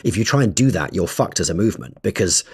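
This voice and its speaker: A man co-hosting a podcast in casual chat, animated and stumbling over his words as he gets going. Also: low voice, quick pace, breathy edge. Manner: animated, restarts often, stresses words. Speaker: podcast co-host.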